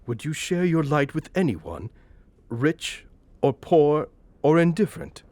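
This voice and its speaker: Guy doing an old miser in a radio play, earnest n questioning, highish voice, really animated pitch. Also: a little slow, dry recording. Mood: curious. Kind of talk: audio drama acting.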